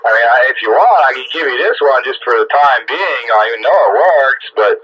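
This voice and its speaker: A man's voice: mid-range voice, animated, fairly quick. Man chatting over dull, hissy radio. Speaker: amateur radio operator. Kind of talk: casual radio chat. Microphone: radio transceiver, recorded off air. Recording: dull, noisy two-way radio audio.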